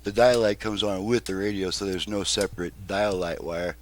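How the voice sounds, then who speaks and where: A man's voice: deep voice, moderate pace, slight background noise. An American man narrating a casual demonstration to viewers as the host and repair technician.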